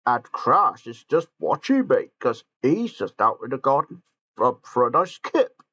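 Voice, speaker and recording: A man voicing a clumsy, grumpy bearded character in a home-made storybook play, with squeaky exclaiming and stammering. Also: very animated, unhurried, fairly bright tone, slightly rough, full character voice. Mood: cheerful. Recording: dull lo-fi recording, faint music.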